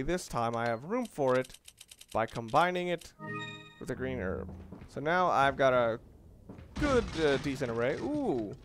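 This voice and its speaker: A man giving casual, off-the-cuff video game commentary, explaining things in a rambling, halting way. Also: mid-range voice, fairly bright tone. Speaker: let's play gamer. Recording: noisy, game sounds behind the voice. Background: video game sounds of boat and water.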